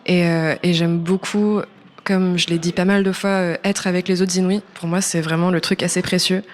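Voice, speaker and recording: Woman, early twenties, slightly low pitch, English native speaker, musician guest, informal, hesitant, trailing off. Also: flat delivery, moderate pace. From born in New York, French-American. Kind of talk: casual radio interview chat.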